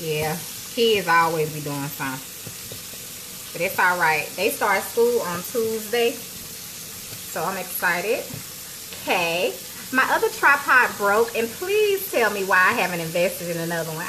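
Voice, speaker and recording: A playful woman chats with her viewers, calling them y'all as she cooks. She speaks into a phone on a small tripod in her home kitchen. The sizzle of frying grease comes through clearly around her voice. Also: mid-range voice, very animated, a little slow, background noise. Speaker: cooking show host. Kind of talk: casual livestream cooking chat. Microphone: phone on a tripod, close to arm's length.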